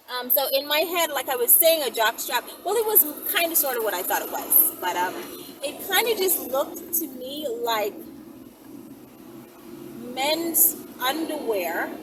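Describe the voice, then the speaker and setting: A woman hosting a video, chatting informally and thinking aloud as she answers viewer requests with rhetorical questions. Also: on the high side. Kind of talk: casual rambling chat to camera. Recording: distant mic, loud vehicle and street noise.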